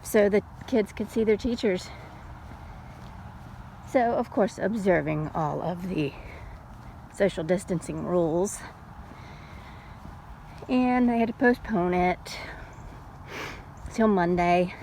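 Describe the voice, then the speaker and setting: A middle-aged woman chatting casually in an unhurried, folksy way, like a mom telling stories while out on a walk. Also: fairly low pitch. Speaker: storytelling vlogger and mother. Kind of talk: casual rambling chat. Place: outdoors, walking. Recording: steady background noise, outdoors on a walk.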